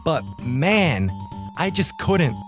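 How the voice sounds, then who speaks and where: A man's voice: fairly high pitch, very animated. Exasperated young man ranting over music. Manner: exasperated, melodramatic, drawn-out emphasis, slangy. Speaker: video commentator. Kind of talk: scripted YouTube commentary rant.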